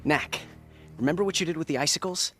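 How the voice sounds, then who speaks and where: An actor playing an eager, clever young adventurer in a video game, earnest and energetic in delivery. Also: male voice, fairly high pitch, quick pace, faint music behind, close to an everyday voice. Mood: coaxing. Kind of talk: video game cutscene acting.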